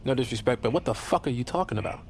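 Actor as sarcastic, flustered American bounty hunter. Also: male voice, medium pitch, expressive, very fast, slight background noise, close to an everyday voice. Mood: wry. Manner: sarcastic, wry, foul-mouthed. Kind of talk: comedy audio drama acting.

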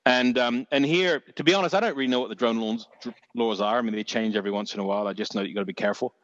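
A man's voice: mid-range voice, rapid-fire, fairly bright tone, no echo, big-room sound, dull audio. A man with a Dorset accent telling stories in a casual chat, rambling with many restarts and the odd swear word. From England.

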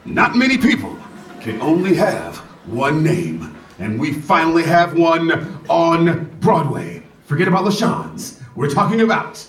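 A man's voice: on the high side, very animated, unhurried. A man playing a smug, self-important Broadway producer in an audio comedy, boastful and condescending. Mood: boastful, showy. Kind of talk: scripted audio comedy acting. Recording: roomy, music and crowd behind.

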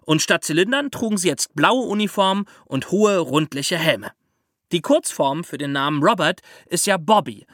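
A man's voice: a little high, animated, normal speed, a bit bright, no room sound, studio quality. A man hosting a podcast, explaining things conversationally and addressing young listeners directly. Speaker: podcast host.

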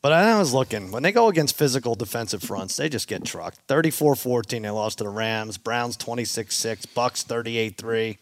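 Man as sports show host, casual betting talk, close dry sound, mid-pitched. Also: lively intonation, normal speed, hardly any pauses, no echo.

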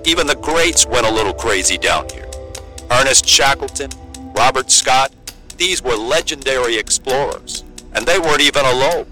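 A man's voice: mid-range voice, expressive, normal speed, bright, forceful tone, soft background music, poor audio. A man playing a sceptical, worried friend in a drama trailer, blunt, colloquial and urgent. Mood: incredulous.

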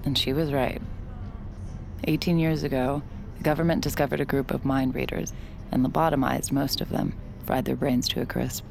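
A young adult mind-reading heroine, played by a woman in an audio drama, narrating her thoughts quietly and reflectively. Her voice is very low and gravelly, and at times she drops to a whisper. Faint noise sits behind her. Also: flat delivery, moderate pace. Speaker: mind-reading lead character.

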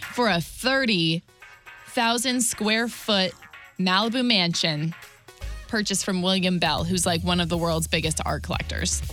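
Bright-voiced American woman on radio. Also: medium pitch. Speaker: radio co-host. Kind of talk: casual radio banter and entertainment news. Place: radio studio.

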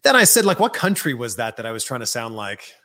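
Playful host, lively up-and-down delivery, teasing questions; casual interview. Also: medium pitch, quick pace. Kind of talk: casual chat.

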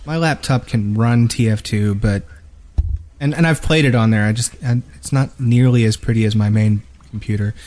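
A man's voice: fairly low pitch, normal speed, darkish tone. A man co-hosting a gaming podcast, talking casually and conversationally. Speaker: podcast co-host.

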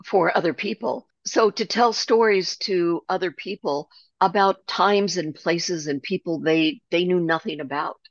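An older woman, a guest author, reflecting on her life in a relaxed storytelling way with frequent restarts. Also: lowish voice, a little slow, no room sound, highs cut off. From Germany. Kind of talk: conversational interview.

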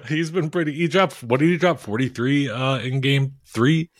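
A man co-hosting a podcast over a call, rambling and self-mocking in a flat monotone with crude jokes. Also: mid-range voice, unhurried, dry recording, studio quality. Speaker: podcast co-host. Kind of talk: casual podcast chat.

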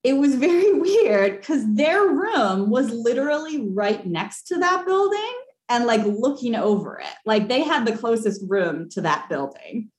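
A young woman telling a ghost story on a podcast call, animated and laughing, with lots of fillers. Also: fairly high pitch, unhurried. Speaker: caller. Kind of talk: casual storytelling. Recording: echoey room.

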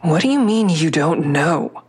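A woman playing a guarded, tense heroine in an audio drama, telling her story in a measured, deliberate, suspenseful way. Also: low-pitched, lively intonation, darkish tone. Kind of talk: first-person audio drama narration and dialogue.

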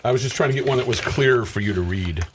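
A man with a husky voice co-hosting a radio show, reminiscing casually with joking, self-mocking interjections. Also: mid-range voice, fast talker, background noise.

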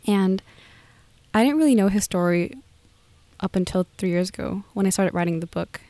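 A woman guest answering interview questions, calm, matter-of-fact and wry. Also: medium pitch, moderate pace, dry recording. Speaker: guest, author.

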